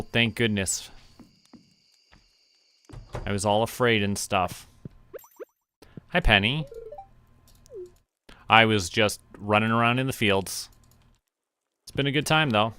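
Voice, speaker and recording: Male game streamer, slightly low pitch, bright tone, casual self-directed musing. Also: clean tone. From Canada. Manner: musing to himself in short remarks. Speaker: VTuber streamer. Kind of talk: casual livestream gaming commentary. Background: background music.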